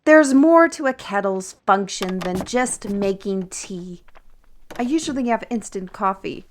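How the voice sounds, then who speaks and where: Woman playing a cryptic artist on parole, unhurried pace, medium pitch, wry, theatrical, fantasy audio drama. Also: expressive, slight background noise, natural voice. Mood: hospitable, teasing. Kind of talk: audio roleplay acting addressed to listener.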